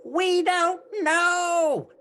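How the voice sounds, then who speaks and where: A woman's voice: very high voice, very slow, bright, forceful tone, exaggerated voice. A woman playing an anxious, helpless head elf in an audio drama, plaintive and distressed, with noise behind. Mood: worried, helpless.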